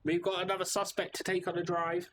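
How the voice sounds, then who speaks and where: A man's voice: sits high, monotone, fast talker, fairly bright tone, a little hiss or hum. A man streaming a narrative game live, reading the narration aloud with casual asides of his own. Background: game music.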